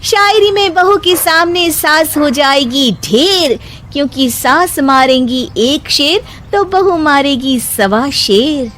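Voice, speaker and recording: A woman voicing a feisty young daughter-in-law in an animated story, pointed and assertive. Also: high-pitched, slow pace, slight background noise, pitched above the performer's own voice, exaggerated voice. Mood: defiant. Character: feisty young daughter-in-law who answers back in verse. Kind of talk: animated story character acting.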